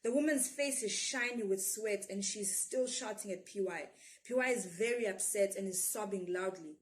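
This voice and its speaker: A woman's voice: medium pitch, normal speed. A woman with a South African accent reads a children's story expressively as a storyteller.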